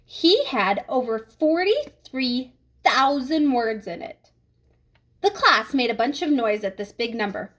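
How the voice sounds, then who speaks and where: High-pitched, animated woman reading aloud. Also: measured pace. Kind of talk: children's read-aloud storytelling.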